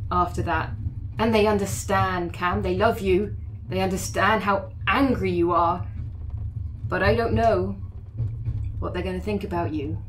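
A woman's voice: medium pitch, slight background noise, low-quality recording. A woman playing her character in a tabletop roleplay, speaking earnestly and pleading. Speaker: player.

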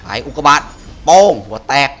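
A man's voice: normal speed, fairly bright tone, soft background music. A man giving casual, slangy, exclamatory gameplay commentary, often talking to himself as he plays. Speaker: gaming video host playing through a game.

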